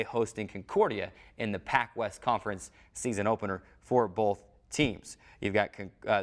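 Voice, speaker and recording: A man presenting a sports show as its host. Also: on the low side.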